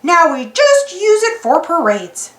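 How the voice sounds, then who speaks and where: A woman voicing a cheerful, sensible male cartoon mouse who talks earnestly and corrects gently. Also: extremely high pitch, huge pitch swings, unhurried, roomy sound, voice pushed up, heavily acted. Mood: upbeat, assured.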